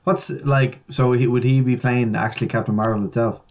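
Irish male co-host, mid-pitched, relaxed, laughs a lot, lots of ums. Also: normal speed. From Ireland. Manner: laid-back, laughs often, lots of fillers. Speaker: podcast co-host. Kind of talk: casual podcast chat.

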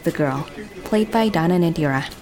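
A woman narrating an audio drama from a script in a measured, understated way. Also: low voice, animated, darkish tone. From Indonesia.

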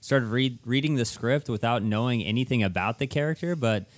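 A man's voice: mid-range voice, a little fast. A man co-hosting a podcast in casual, informal and agreeable chat. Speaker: podcast co-host.

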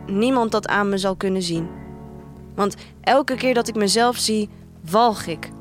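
A woman's voice: mid-range voice, close to an everyday voice. An actress playing a troubled woman who tells a bleak future tale in an introspective, dramatic monologue for an audio drama. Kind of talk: literary first-person audio drama monologue.

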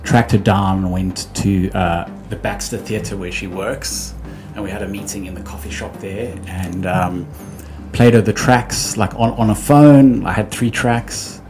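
A man's voice: on the low side, steady tone, a little slow, music in the background. A man talking casually as a musician guest in an interview, easygoing and chatty with fillers and restarts.

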